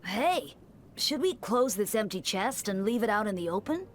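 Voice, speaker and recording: A woman's voice: medium pitch, very animated, moderate pace, natural voice. A woman playing a playful, devilish young male prankster in a video game voice line. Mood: mischievous. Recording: game clip with music behind.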